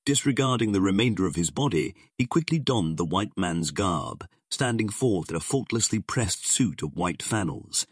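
A man with a husky voice reading scripted narration of a pulp adventure story as an audiobook narrator. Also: deep voice, lively intonation, moderate pace, few pauses, no room sound, studio quality.

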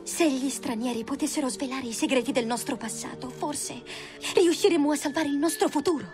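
A proud, impassioned young warrior princess, voiced by a woman for animation. Her voice is high, with clear music behind it. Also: brisk, close to an everyday voice. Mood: urgent, pleading. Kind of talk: animated film dubbing.

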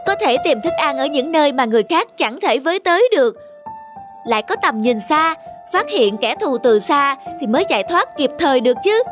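A man's voice: extremely high pitch, big pitch swings, a little fast, music in the background. A man voicing a tall, boastful, teasing cartoon giraffe. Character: smug, condescending tall male giraffe. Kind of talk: cartoon voice acting.

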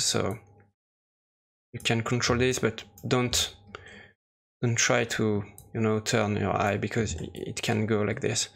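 Guy with a creaky voice walking thru software, kinda halting, mid pitch. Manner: hesitant, halting explanation. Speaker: software tutorial presenter. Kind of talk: unscripted screen-recorded tutorial narration.

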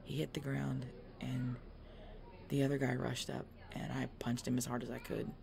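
A woman playing a tough, weary, injured biker girlfriend in an ASMR roleplay audio drama, speaking haltingly and protective of her boyfriend. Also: very deep voice, little pitch movement, creaky, crowd noise, exaggerated voice. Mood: weary, pained.

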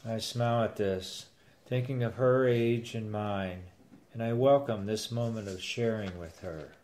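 A man's voice: on the low side, measured pace. A man in his sixties narrating a first-person story and acting out its dialogue as a grandfather.

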